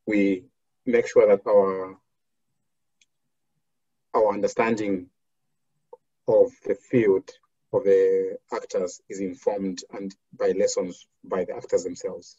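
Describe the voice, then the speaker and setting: A man from Zambia, a foundation program officer, answering interview questions in a measured way with many fillers and restarts. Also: low voice, dark tone, breathy edge, dry recording, lo-fi sound.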